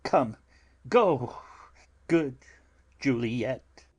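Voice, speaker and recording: Man playing grieving friar, very slow halting delivery, husky voice, theatrical Shakespearean drama, anxious lament. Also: medium pitch, expressive, heavily acted. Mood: urgent pleading. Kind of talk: theatrical Shakespearean audiobook acting.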